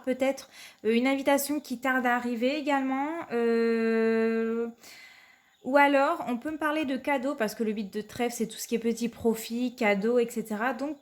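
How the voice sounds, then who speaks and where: A woman with a cold giving a weekly card reading to her viewers, hesitant and full of fillers. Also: a little high. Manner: hesitant, many fillers, repeats "tout simplement". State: has a cold. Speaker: card reader.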